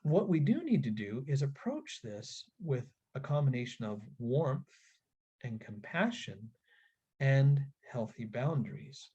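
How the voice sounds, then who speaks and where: Male webinar presenter, husky and mellow, earnest and deliberate, instructing parents. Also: mid-range voice, very animated. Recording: dull, lo-fi webinar audio.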